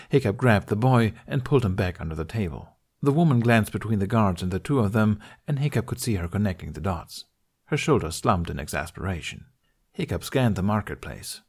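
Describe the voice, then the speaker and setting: A man narrating an adventure story in a scripted, audiobook style. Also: lowish voice. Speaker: podfic narrator.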